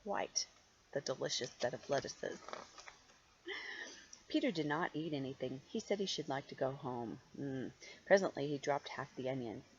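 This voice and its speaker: A woman's voice: extremely low pitch, normal speed, long pauses, lo-fi sound, a little hiss or hum. An older woman reads a storybook aloud like a grandmother, expressively and playfully, drawing out key words and adding chatty asides. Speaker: grandmother reading stories to children.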